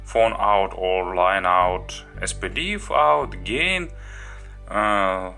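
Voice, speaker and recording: Deep, slow-paced man reviewing a gadget. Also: creaky, bright, forceful tone, soft background music. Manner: halting, listing items one by one. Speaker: product reviewer, host. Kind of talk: unscripted product review walkthrough.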